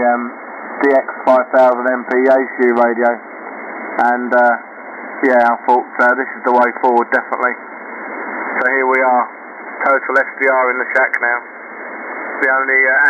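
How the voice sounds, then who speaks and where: A man with an even, unvarying pitch rambles casually over amateur radio. His easygoing talk comes through a dull shortwave signal with plenty of noise. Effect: shortwave radio transmission. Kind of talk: casual radio contact chat.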